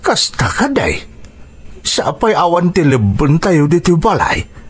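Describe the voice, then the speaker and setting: An actor playing an anxious, elderly father with a husky voice in an Ilocano radio drama. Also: on the high side, sing-song, slow pace, exaggerated voice. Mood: worried.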